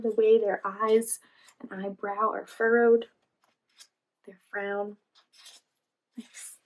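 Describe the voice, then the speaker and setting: A woman hosting a children's read-aloud, reading expressively and pausing to ask encouraging questions. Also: medium pitch, low-quality recording. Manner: encouraging, asks questions and pauses for answers. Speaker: read-aloud storytime host, teacher.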